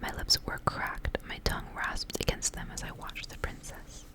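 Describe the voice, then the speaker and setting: A woman reading aloud in a soft, breathy, unhurried whisper, in the style of an ASMR storyteller.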